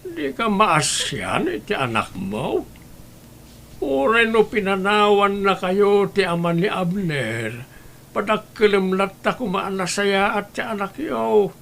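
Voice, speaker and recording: A man playing an elderly, concerned grandfather in an audio drama, gently scolding with an Ilocano accent. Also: high-pitched, very animated, slow pace, gravelly, a little hiss or hum, exaggerated voice. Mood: concerned, reproachful.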